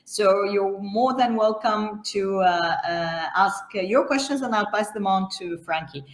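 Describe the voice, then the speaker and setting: The voice is a woman's, at a middling pitch, in a roomy, echoing recording. She speaks as the host of a semi-formal interview. Her delivery is halting, with many hesitant fillers between phrases.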